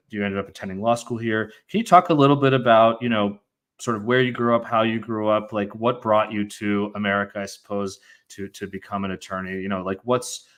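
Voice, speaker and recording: A man's voice: fairly low pitch, fairly bright tone. Rambling male podcast host. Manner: rambling questions full of "you know" and restarts. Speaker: podcast host and immigration lawyer. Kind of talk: casual interview hosting.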